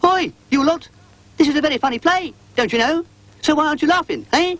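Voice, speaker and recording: A man voicing an excitable, pompous male cartoon dog who fancies himself a playwright, speaking in a hammy, theatrical way. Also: very high voice, a little fast, no echo, pitched above the performer's own voice. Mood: indignant.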